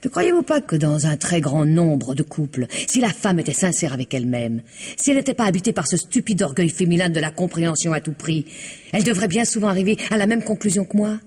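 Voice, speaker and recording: A woman's voice: lowish voice, big pitch swings, fast talker, slightly rough. An actress playing a reticent, proud woman whose husband has vanished, guarded and emotional under questioning in an old radio drama. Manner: guarded, emotional, sometimes dropping to a hush. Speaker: wife of a missing man. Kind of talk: 1960s French radio drama acting.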